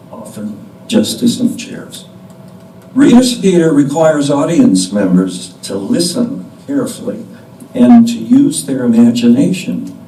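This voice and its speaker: An older man giving a deliberate scripted introduction to a play from a stage, with pauses. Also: mid-range voice, mellow. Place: indoor stage before an audience. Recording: distant mic in echoey room, background noise.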